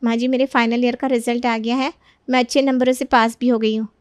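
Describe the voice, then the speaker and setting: A woman's voice: fairly high pitch, level tone, brisk, dry recording, studio quality, close to an everyday voice. A woman voicing a polite, studious young daughter-in-law in a family story, speaking earnestly. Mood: polite, happy. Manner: respectful, earnest.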